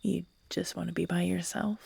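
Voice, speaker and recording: A woman playing an obsessive, unhinged yandere who is tender and menacing by turns, speaking in an intimate, halting, breathy ASMR roleplay style that often drops into whispers. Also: on the low side, level tone, a little mellow, dry recording, exaggerated voice. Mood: tender, coaxing. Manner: slow, halting, cooing, broken by giggles and sighs.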